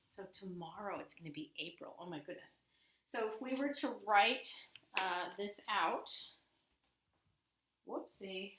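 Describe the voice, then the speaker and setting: A middle-aged woman teaches young children in an informal, expressive way, using simple explanations. Her voice sits at an average pitch for a woman. The room adds clear echo, and there is faint noise behind her. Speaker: elementary school teacher. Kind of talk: informal video lesson and read-aloud for children.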